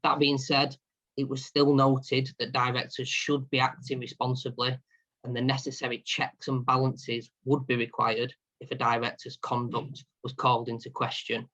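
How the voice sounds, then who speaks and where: A man presenting a business webinar, reading prepared notes in a steady manner. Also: mid-range voice, moderate pace, lo-fi sound. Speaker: partner and insolvency practitioner.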